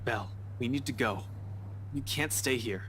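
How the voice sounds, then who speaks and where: An actor playing a battered, protective policeman in a video game, with a strained, husky voice and urgent manner. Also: mid-range voice, moderate pace, fairly bright tone, a little hiss or hum, lightly acted. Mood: strained urgency.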